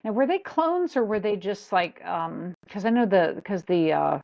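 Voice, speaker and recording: A woman's voice: medium pitch, animated, normal speed, vocal fry, a little mellow. A woman co-hosting a fan podcast in casual chat, hesitant and searching for words. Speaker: podcast co-host. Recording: dull lo-fi mic.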